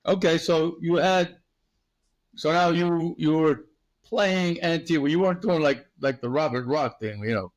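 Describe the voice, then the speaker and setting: A man's voice: a little high, a little slow. A man from Long Island City, New York, hosting a casual interview podcast, friendly and chatty with frequent restarts. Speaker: podcast host.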